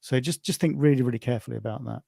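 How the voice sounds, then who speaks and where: A man with a British accent hosting an informal online presentation as a competition organiser, speaking in a conversational, halting way. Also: medium pitch, gravelly, mellow, dry recording, clean studio sound. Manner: conversational, halting, often repeats "you know".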